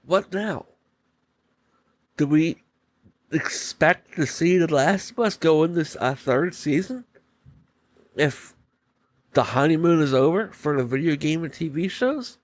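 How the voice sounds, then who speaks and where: A man hosting a solo podcast, giving casual opinion commentary in short, halting phrases that he often repeats. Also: medium pitch. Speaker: podcast host.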